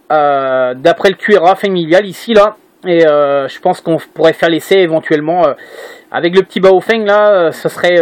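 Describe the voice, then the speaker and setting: A man chatting casually as a radio operator, hesitant and repeating himself as he goes. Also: sits high. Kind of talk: casual radio contact chat. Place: indoor room at home.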